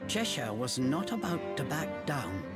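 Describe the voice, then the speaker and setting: A woman playing a storybook narrator in a video game, measured and dramatic. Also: rumbling low, big pitch swings, long reverb tail, over loud music, full character voice.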